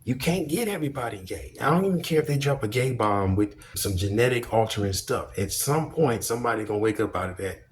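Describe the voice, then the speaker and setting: A man giving a blunt, profane, opinionated monologue in a deep, projecting voice. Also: lively intonation, fairly quick, few pauses, slight background noise. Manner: blunt, profane, preachy and emphatic. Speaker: commentary vlogger.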